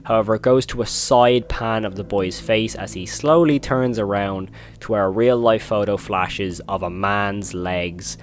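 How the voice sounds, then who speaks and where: A man's voice: on the low side, unhurried, a little hiss or hum. A man hosting a horror video commentary, retelling and reacting in an informal, emphatic way.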